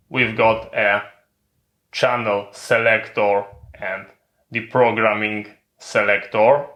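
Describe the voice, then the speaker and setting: A man's voice: fairly low pitch, a bit bright. A man giving a methodical, step-by-step hands-on equipment tutorial in non-native English phrasing. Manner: methodical, instructional. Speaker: tutorial presenter. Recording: roomy, echoing sound.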